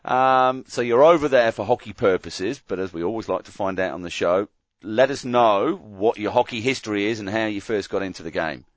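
A man's voice: on the low side, a little fast, no echo. A man hosting a podcast, chatty and jokey, who sometimes loses his thread. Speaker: podcast host. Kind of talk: casual podcast chat. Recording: dry, slightly dull sound.

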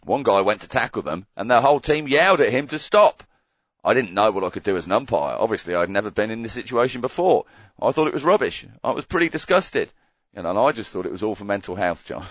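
An Australian man co-hosting a casual podcast chat, ranting in animated mock disgust. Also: lowish voice, quick pace, no echo, muffled recording. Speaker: podcast co-host.

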